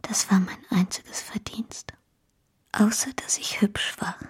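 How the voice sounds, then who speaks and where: An actress playing a tender, weak, childlike young wife on her deathbed in a radio drama, with a faint, halting, breathy whisper. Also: mid-range voice, fairly even intonation. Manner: faint, gentle, halting delivery.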